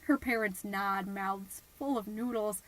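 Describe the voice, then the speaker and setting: A woman playing a chatty, high-strung suburban mom podcaster, telling stories conversationally with dramatic, confiding asides. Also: unhurried, close to an everyday voice, rough recording. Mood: hushed, awed. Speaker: podcast host character. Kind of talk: scripted comedic monologue storytelling, conversational.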